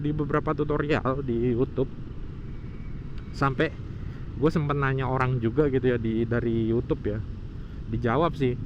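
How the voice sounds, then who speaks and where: A man's voice: on the high side, highs cut off, background noise. A man explaining things informally to viewers in a casual motovlog, thinking aloud as he goes.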